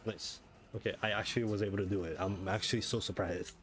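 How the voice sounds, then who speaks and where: A man with a low voice streams a video game. He chats casually, half to himself, in an offhand way. He often repeats his own phrases as he goes. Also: fairly even intonation, fast, fairly bright tone, soft background music. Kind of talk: casual gameplay commentary.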